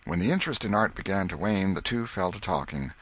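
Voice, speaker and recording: Low-voiced man narrating an audiobook. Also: expressive, quick pace, fairly bright tone. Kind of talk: scripted literary narration.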